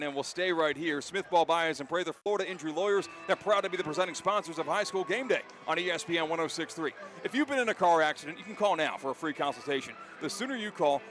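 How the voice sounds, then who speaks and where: An American man doing live play-by-play radio commentary of high school football, excitable and ready to shout the big calls. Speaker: play-by-play announcer.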